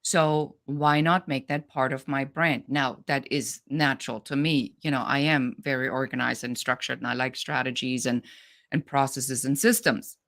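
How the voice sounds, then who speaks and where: Brief: middle-aged woman, German accent, blunt straight-shooting business coach, low-pitched delivery. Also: moderate pace. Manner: direct, no-nonsense, animated. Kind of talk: podcast interview.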